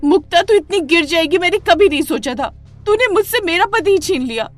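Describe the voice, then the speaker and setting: A woman's voice: extremely high pitch, lively intonation, fairly quick, faint music behind, voice pushed up. A woman voicing a jealous, hot-tempered young wife in a melodramatic story, with emotional outbursts. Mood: furious. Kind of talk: melodramatic Hindi moral story acting.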